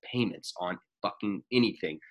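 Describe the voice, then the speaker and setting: A man's voice: on the low side, normal speed, fairly bright tone. A man in his late twenties in casual conversation, blunt and rambling, swearing freely as he makes his points. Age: 20s. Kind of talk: casual podcast chat.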